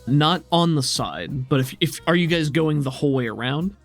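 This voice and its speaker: A man narrating an improvised tabletop game as game master, explaining the scene in measured descriptions. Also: a little high, lively intonation. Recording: music behind the voice. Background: music.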